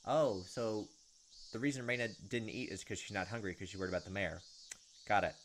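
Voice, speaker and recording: A man's voice: on the low side, fairly even intonation, brisk, fairly bright tone, a little hiss or hum. A young man hosting a gaming video, reading visual novel narration aloud with casual asides. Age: young adult.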